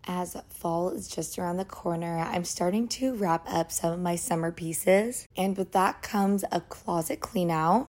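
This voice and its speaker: A young American woman with a slightly raspy voice, hosting a chatty solo podcast in a girly, gushing way with emphatic slang. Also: on the low side, darkish tone. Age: young adult. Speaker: podcast host.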